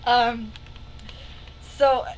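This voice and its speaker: A woman's voice: fairly high pitch, fairly even intonation, extremely slow, a little hiss or hum, rough recording. A nineteen-year-old woman from Los Angeles co-hosting a casual podcast, giggly and playful, on a cheap microphone. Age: young adult. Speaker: podcast co-host. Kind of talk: casual chat.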